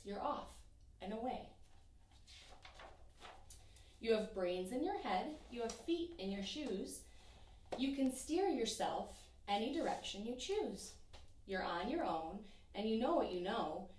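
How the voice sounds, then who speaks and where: A young woman teacher reading a rhyming children's picture book aloud in an expressive, sing-song way. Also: mid-range voice, a little slow, far from the mic. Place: small room in front of a painted backdrop. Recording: echoey room, voice from off-mic.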